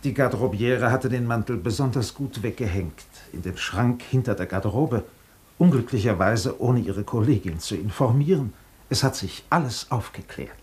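An actor playing an obsequious, anxious hotel director in a German radio drama, stiffly courteous and deferential. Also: male voice, mid-range voice, moderate pace, darkish tone, lightly acted. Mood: apologetic, flustered. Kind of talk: German radio play acting.

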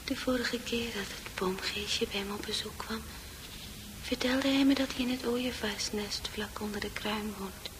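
An actress voicing the inner thoughts of a withdrawn, resentful female psychiatric patient, breathy and mostly whispered, in a radio drama. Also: medium pitch, background noise, lightly acted. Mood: wistful. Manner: secretive, brooding.

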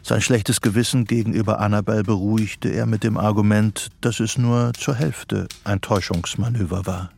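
An actor narrating a psychological thriller radio drama in sober, scripted literary prose. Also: male voice, lowish voice, steady tone, normal speed, gravelly, few pauses, a little mellow, slight background noise. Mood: sober, measured.

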